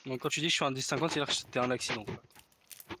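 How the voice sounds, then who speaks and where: A young man joking loudly and bantering with friends in a voice chat. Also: mid-range voice, steady tone, fast talker, background noise. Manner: boisterous, jokey, jumping into squeals. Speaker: gamer in group voice chat. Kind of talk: casual banter.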